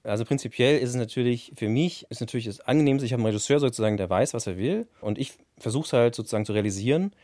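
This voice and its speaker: A man's voice: medium pitch, very fast, few pauses, dark tone, dry recording. A man working as a studio engineer, talking casually in an interview with frequent colloquial tag questions.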